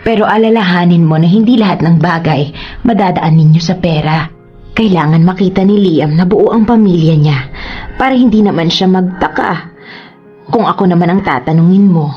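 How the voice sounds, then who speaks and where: A woman playing a concerned older grandmother in a radio drama, husky, earnest and gently admonishing. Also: fairly low pitch. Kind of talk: Tagalog radio drama acting. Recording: slightly dull audio.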